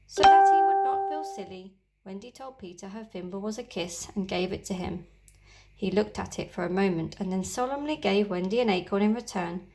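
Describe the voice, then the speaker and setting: Female teacher, story-time narrator, expressive, slightly gravelly. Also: mid-range voice. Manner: expressive, gently animated storytelling. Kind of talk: reading a children's story aloud.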